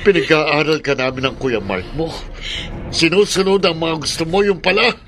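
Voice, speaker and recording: A man's voice: fairly high pitch, moderate pace, a bit bright, a little hiss or hum. An actor playing a stern, unforgiving father with a Filipino accent, harsh and scolding, in a radio drama. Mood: angry reproach. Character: stern, furious, unforgiving father of a college girl.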